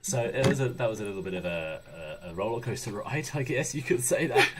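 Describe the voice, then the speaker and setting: A man's voice: on the low side, lively intonation, a little fast, a crowd in the background. A man co-hosting a podcast with casual comic banter. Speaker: podcast co-host.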